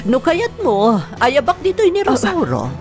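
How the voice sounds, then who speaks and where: A woman's voice: high voice, huge pitch swings, slow, gravelly, soft background music, exaggerated voice. A woman with an Ilocano accent playing a smug, haughty mistress in a radio-style drama, speaking in a condescending, theatrical way. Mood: smug, dismissive.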